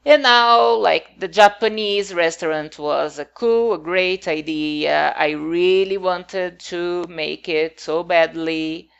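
A woman's voice: lowish voice, slow pace, creaky, few pauses, smooth, muffled recording. A woman whose first language is not English, talking casually over her game build in a hesitant, rambling way with many fillers.

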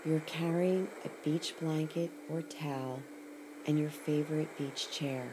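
Deep-voiced woman, meditation guide, soothing scripted narration, short phrases with long pauses, clearly noisy ambient recording. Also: fairly even intonation. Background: ocean sounds.

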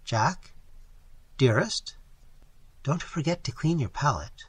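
Guy doing a soft hushed nervous young woman, tender and pleading, storybook style, husky and dry sounding. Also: on the high side, expressive, slow, dry recording, heavily acted. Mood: tender, gentle. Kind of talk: literary audiobook character dialogue.

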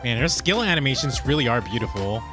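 A man in his thirties gushing through an excited reaction to a game trailer, recorded on a close studio mic in a home streaming room. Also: mid-range voice. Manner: animated, gushing, quick exclamations. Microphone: studio mic on boom arm, headset. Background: game trailer music.

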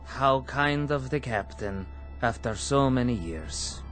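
Radio drama casting: a measured, menacing ex-prisoner, slow and quiet, lively pitch, music underneath. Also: male voice. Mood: menacing calm. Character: calm vengeful former male camp prisoner. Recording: radio play with music behind.

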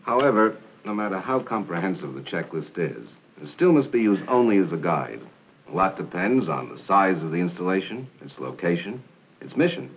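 A man in his forties playing a courteous, methodical army counterintelligence agent, formal and measured, on an old film soundtrack. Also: low-pitched, sing-song, lo-fi sound, a little hiss or hum. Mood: explanatory. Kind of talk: 1960s training film acting. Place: small office and meeting room, indoors.

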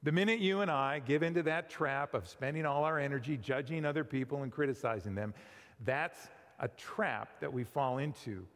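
A middle-aged man preaching a sermon in a church sanctuary, conversational and animated, often asking his listeners questions. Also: medium pitch, normal speed. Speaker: pastor. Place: large church sanctuary with pipe organ. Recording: long hall reverb.

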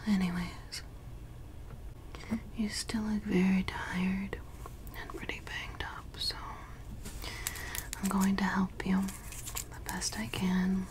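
A young woman in ASMR roleplay as a mysterious forest witch who tends a lost traveler, whispering softly close to the mic and repeating words soothingly in a small dim room. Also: medium pitch, flat delivery, slow, pauses often, natural voice, rough recording. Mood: teasing. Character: mysterious, teasing forest witch woman, caring yet ominous. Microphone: close studio mic.

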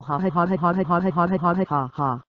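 A text-to-speech woman's voice playing a revived female robot who gloats in a flat machine tone. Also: lowish voice, fairly quick, natural voice. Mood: gloating. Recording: dry lo-fi synthesized audio.

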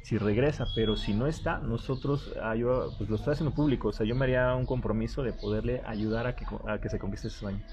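A man's voice: medium pitch, fast talker, few pauses. Eager Mexican man interviewing, crowd behind. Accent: Mexican Spanish. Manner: eager, courteous, many fillers. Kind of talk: casual live interview. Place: indoor seating area with a painted wall.

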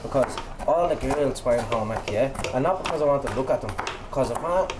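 Brief: upbeat Irish co-host, quick and animated, pans clattering behind. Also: male voice, mid-range voice, background noise. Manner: teasing, excitable storyteller. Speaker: podcast co-host. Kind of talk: casual banter. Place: small commercial kitchen.

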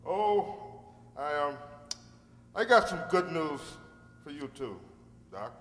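A man acting a folksy, friendly Old West sheriff with drawn-out delivery, in a staged old-time radio play. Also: fairly high pitch, very animated, slight background noise. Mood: grateful, folksy. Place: stage in a community hall. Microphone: stand microphone. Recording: very echoey hall stage.

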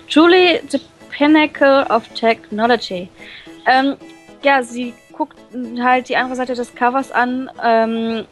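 Slow, high-voiced woman reading aloud. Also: low-quality recording. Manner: reads English text slowly, then paraphrases in German with many fillers. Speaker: reader translating a visual novel. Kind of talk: casual reading and translating with friends.

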